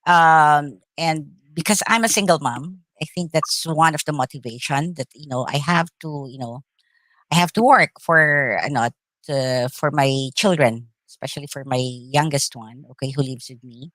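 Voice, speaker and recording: A middle-aged woman with a Filipino accent, whose first language is Tagalog, answering interview questions thoughtfully with many pauses and uhs. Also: low voice, fairly bright tone, no echo. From Philippines. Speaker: actress, interview guest.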